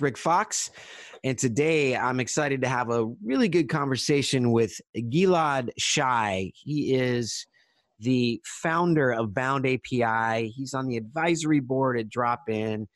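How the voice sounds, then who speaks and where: A man's voice: medium pitch, dry recording, studio quality. A middle-aged man from Southern California hosting a podcast interview, chatty and repeating words while he searches for phrasing. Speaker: podcast host.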